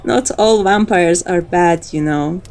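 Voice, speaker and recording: A woman's voice: on the low side, slow pace, darkish tone. A woman playing a flirtatious, teasing female vampire in an intimate, coaxing ASMR roleplay. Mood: playful, seductive.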